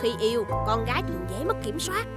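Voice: medium pitch, big pitch swings, quick pace, lightly acted. A voice actor playing a mischievous, teasing twin schoolboy who gossips in a Vietnamese cartoon. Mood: gossipy. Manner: teasing, exaggerated storytelling. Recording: children's music behind the voice.

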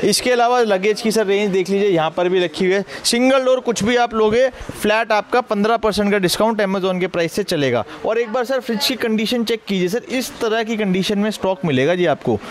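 A man with a Delhi Hindi accent giving a brisk, persuasive sales pitch while walking through a large electronics warehouse, calling the listener sir. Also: a little hiss or hum. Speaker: shop salesman.

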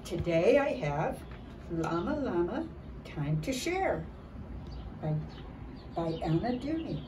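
An older woman reading a children's picture book aloud in an expressive, deliberate way, dramatizing it for young children. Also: on the low side. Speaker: early childhood storybook reader. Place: outdoors by a building wall and door. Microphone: camera a short distance away. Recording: distant mic, clear background noise outdoors.

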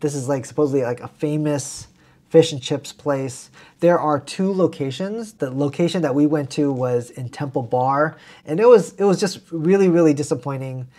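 A man in his thirties from the United States talks casually to camera, enthusiastic and full of little fillers and restarts. His voice sits higher than most men's. He is close on a clip-on mic in a quiet room. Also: moderate pace. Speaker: travel vlog co-host. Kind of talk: casual food recommendations to camera. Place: indoor home room with plants. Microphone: lapel mic.

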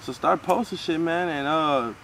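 A man's voice: on the high side, normal speed, vocal fry, a bit bright, low-quality recording. A man interviewing casually, in slangy, profane and encouraging talk. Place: outdoor backyard, off camera. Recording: outdoor ambient noise.

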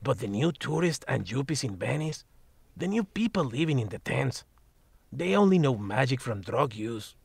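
A man voicing a sardonic magical talking cat who mentors the family, blunt and lecturing, husky in tone. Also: medium pitch, very animated, moderate pace, darkish tone, dry recording, exaggerated voice. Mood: wry. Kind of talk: audio drama acting.

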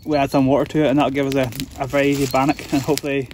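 A man's voice: mid-range voice, level tone, very fast, darkish tone, slight background noise. A Northern Irish man hosting a casual outdoor cooking demo, talking through each step in a chatty way. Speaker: host of a wild camping channel. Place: outdoors at a camp.

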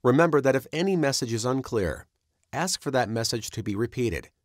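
A man reading scripted instructional narration as a training presenter, in a measured, instructive manner. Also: mid-range voice, expressive, no echo, clean studio sound.

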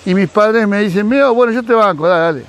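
A man's voice: high-pitched, fast talker. A middle-aged Argentine man from Mar del Plata, a fish breeder, giving casual advice outdoors in a garden and talking animatedly with his hands. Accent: Argentine Spanish, Mar del Plata. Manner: animated, gesturing, acts out quoted dialogue. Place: outdoors in a sunny garden by a pool. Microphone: camera at close range.

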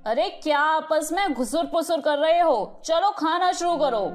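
A woman's voice: high voice, measured pace, faint music behind. A woman voicing a boastful, insistent older mother and home cook in a Hindi cartoon story. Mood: bossy. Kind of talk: Hindi animated moral-story dialogue.

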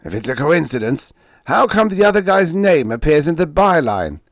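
A man's voice: on the high side, big pitch swings, normal speed, dry recording, studio quality, pitched above the performer's own voice. A man doing a sneering, angry editor. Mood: mocking. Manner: mocking, sneering. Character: mocking, angry male editor on the phone.

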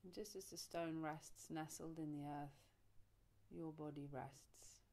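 A woman leading a guided relaxation meditation, soothing and unhurried, speaking in short phrases with long pauses. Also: low-pitched, steady tone, vocal fry, warm tone. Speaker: yoga nidra teacher, meditation guide.

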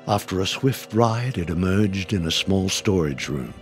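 A man's voice: low voice, expressive, unhurried, faint music behind. A man giving scripted, dramatic narration for an audio drama.

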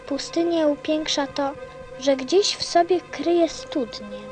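A woman playing a gentle, earnest little boy prince in a radio play, with a simple, solemn, childlike manner. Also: high-pitched, lively intonation, takes it slowly. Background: music.

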